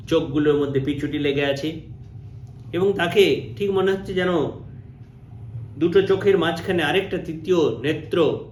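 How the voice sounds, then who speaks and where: A man's voice: a little high, darkish tone, slight background noise. A man telling a story in the first person, dramatically and with animation, repeating phrases for emphasis. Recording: roomy, echoing sound.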